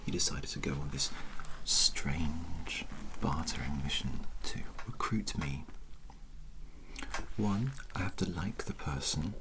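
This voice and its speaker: A woman playing an aloof, sardonic female alien pilot in a soft, breathy whispered ASMR roleplay. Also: very deep voice, noisy background. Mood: wary, sardonic. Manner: slow, deliberate, dryly sardonic.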